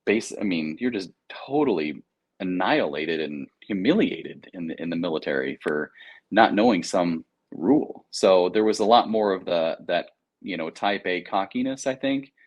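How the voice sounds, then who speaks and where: A man's voice: deep voice, lively intonation, normal speed, muffled recording. An American man talking casually in an interview, explaining things in an informal, anecdotal, slangy way. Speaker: air traffic controller, interview guest.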